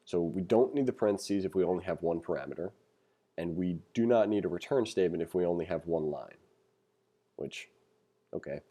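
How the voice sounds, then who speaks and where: A man's voice: sits low, animated, fairly quick, mellow. A man giving a casual, conversational programming tutorial as instructor, reading along and adding opinionated, self-deprecating asides.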